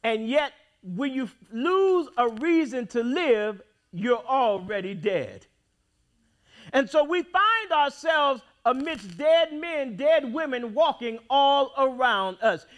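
A man preaching a sermon in a church, with dramatic swings between loud outbursts and quiet, drawn-out phrases. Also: very high voice, expressive. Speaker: pastor. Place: church sanctuary.

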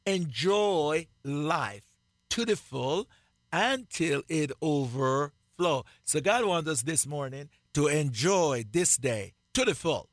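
A man with a Jamaican accent, preaching on a radio broadcast in a slow, emphatic way and repeating key phrases. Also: fairly high pitch, expressive, no room sound, clean studio sound. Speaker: pastor. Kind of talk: radio sermon, co-hosted Bible teaching.

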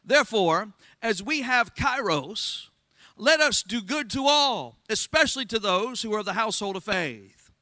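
A very high, piercing male voice with a Southern accent, preaching with fervor and constantly calling on the congregation to answer back. The sound is dry and close, with no room echo. He is a pastor from Florida in full sermon mode. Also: expressive, unhurried, bright, forceful tone. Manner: call-and-response preaching, asks the congregation for amens. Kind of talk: Pentecostal sermon.

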